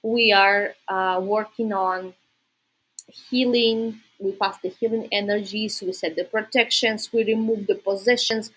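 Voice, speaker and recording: A woman's voice: mid-range voice, fairly even intonation, a little slow, a bit bright, slightly rough, poor audio. A woman from Russia with a Russian accent, chatting informally and directly to viewers as a livestream host. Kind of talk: casual livestream chat.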